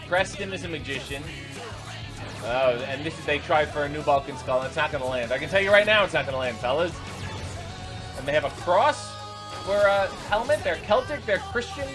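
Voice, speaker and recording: A man with a somewhat high-pitched voice reacts to clips as he watches them. His running commentary is unscripted, incredulous and sarcastic, with an exasperated edge. Music plays clearly behind his voice. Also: lively intonation, brisk. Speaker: reaction video host.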